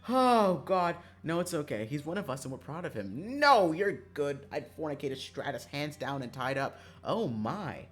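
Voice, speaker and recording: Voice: mid-range voice, very animated, normal speed, hardly any pauses. A streamer chatting casually on a livestream in a joking, self-mocking, dramatic way, swearing freely.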